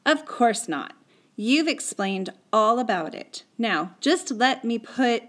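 A woman's voice: on the high side, expressive, takes it slowly, a bit bright. A woman voicing a stubborn, eager young boy in an audiobook, insistent and impulsive. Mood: impatient. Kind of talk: acted audiobook dialogue.

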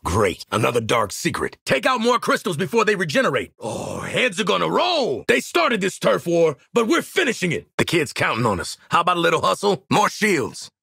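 An actor playing a tough, cocky American hitman in a video game, with a gravelly, husky voice and a clipped, sardonic, commanding delivery. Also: high-pitched, wildly swooping pitch, normal speed, hardly any pauses, pushed and bright, dry recording, studio quality, exaggerated voice. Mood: dry, confident. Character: tough, cocky, no-nonsense hitman and squad soldier. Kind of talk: video game combat barks and banter.

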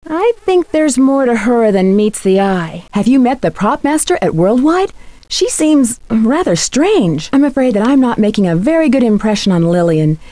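A woman playing a curious, polite young female detective in a video game, with earnest, clearly enunciated scripted delivery. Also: a little high, sing-song, normal speed, warm tone, no room sound. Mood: curious, businesslike. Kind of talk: scripted game voice lines and letter narration.

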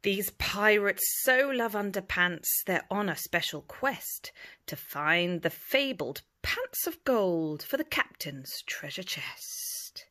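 A middle-aged woman reading a picture book aloud as a bedtime story, with dramatic delivery and hushed asides. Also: medium pitch, animated, takes it slowly.

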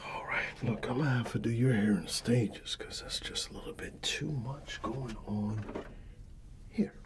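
A middle-aged American man doing a soft-spoken ASMR barber roleplay, breathy and often near a whisper, with an unhurried, halting, fatherly manner. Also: medium pitch, background noise. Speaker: ASMR performer playing a barber.

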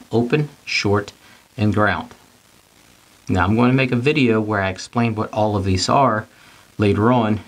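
A man's voice: on the low side, rough recording. A middle-aged man giving an informal technical lesson, plain-spoken, repeating and rephrasing his points step by step. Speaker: technical instructor. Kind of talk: whiteboard lesson, informal explanation.

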